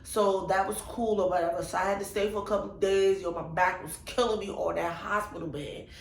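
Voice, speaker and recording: A woman's voice: mid-range voice, a little fast, creaky, roomy sound. A young woman vlogger with a congested, nasal voice, chatting animatedly as she tells a personal story. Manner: animated, chatty, exclaims to God and Jesus. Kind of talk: casual storytime vlog.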